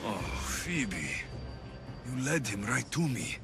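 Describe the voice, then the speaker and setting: A young mercenary hero from a video game, speaking over clear background music. He is wary and blunt, with a brooding, vengeful edge. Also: mid-range voice, big pitch swings, takes it slowly, full character voice. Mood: suspicious. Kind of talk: video game cutscene acting. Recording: music behind the voice.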